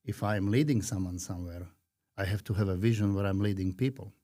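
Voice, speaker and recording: A man in his fifties from Slovakia with a Slovak accent, talking earnestly and reflectively as a podcast guest, with frequent pauses. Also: deep voice, dark tone. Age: 50s. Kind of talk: conversational interview.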